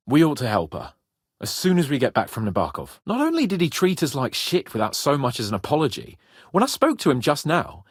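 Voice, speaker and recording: A proud, hot-headed young lord in a medieval video game. His fairly high voice swoops dramatically up and down and has a slightly husky, raspy edge. He speaks imperiously and curses freely, like someone used to being obeyed. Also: no echo, clean studio sound, full character voice. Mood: aggrieved. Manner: imperious, sweary, theatrical. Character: haughty, hot-tempered young nobleman, foul-mouthed. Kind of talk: medieval role-playing game dialogue.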